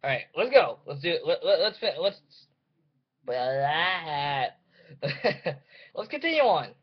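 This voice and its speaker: A young man with a fairly high voice hosts a casual reaction video. He rambles hesitantly, cutting himself off and putting himself down. The webcam sound is dull and lo-fi. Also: sing-song, slow. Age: young adult. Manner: hesitant, self-deprecating, breaking off mid-sentence. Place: indoors in a room at home. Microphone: webcam or computer mic.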